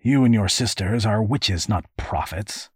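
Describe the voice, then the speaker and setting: Man as storybook father: low husky voice, sceptical, understated, quietly sardonic. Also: a little slow, no room sound. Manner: muttered, understated, dry.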